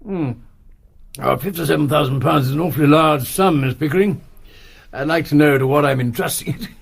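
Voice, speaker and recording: An actor with a British accent playing an older confidence trickster posing as a colonel in a radio drama, polite, blustering and gentlemanly. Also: male voice, fairly high pitch, a little fast, vocal fry. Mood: confiding. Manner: polite, blustering, old-fashioned gentlemanly speech.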